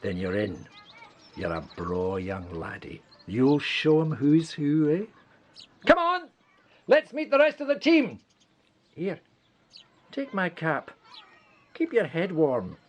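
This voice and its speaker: Hearty Scottish village doctor, swooping intonation. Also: male voice, on the high side, sing-song, slow, pauses often, muffled recording, heavily acted. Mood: kindly, cheering. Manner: hearty, avuncular, exclaiming. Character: kindly, brisk village doctor in wartime 1940s. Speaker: actor in a radio play. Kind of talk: radio play acting.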